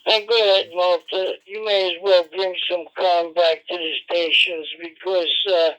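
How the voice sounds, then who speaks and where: A man's voice: high voice, flat delivery, measured pace, fairly bright tone, highs cut off. A confrontational, sardonic man talking over a compressed digital two-way radio link. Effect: digital radio transmission. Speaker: amateur radio operator. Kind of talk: combative two-way radio chat.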